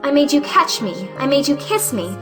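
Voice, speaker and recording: A woman's voice: high-pitched, big pitch swings, normal speed, over music. An actress playing a proud, frank young woman in an audio play, speaking with emphatic dignity. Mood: proud, scornful. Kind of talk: audio play acting of a 1910s stage comedy.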